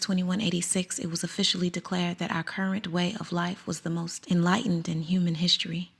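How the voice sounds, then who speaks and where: A woman's voice: on the low side, creaky. An actress playing a conflicted woman teacher who spies for someone, narrating in first person in a radio drama with an anxious, reflective manner. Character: conflicted woman teacher, a circus school spy. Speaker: narrator and lead character.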